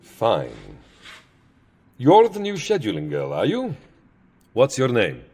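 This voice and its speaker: An ancient vampire count, holds forth in a comic audio drama. His slightly rough voice leaps dramatically up and down, wry, fussy and long-winded. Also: medium pitch, wildly swooping pitch, slow pace, a little mellow, exaggerated voice. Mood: fussy, irritable. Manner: theatrical, wry, fussily verbose. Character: Count Dracula, centuries-old male vampire, fussy, sardonic showman. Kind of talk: comedic audio drama acting.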